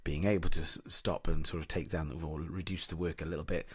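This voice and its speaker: Deep-voiced man hosting a podcast. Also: fairly even intonation, a little fast, breathy edge, dry recording, clean studio sound. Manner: rambling, frequent restarts and fillers. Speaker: podcast host. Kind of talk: casual interview chat.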